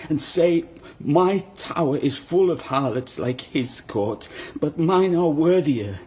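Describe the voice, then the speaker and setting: Slow, higher-voiced man as wronged peasant. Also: expressive, darkish tone. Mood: defiant, reporting. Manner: slow, declamatory, archaic speech. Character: maimed peasant swineherd pleading before his king. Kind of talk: dramatic verse audio drama.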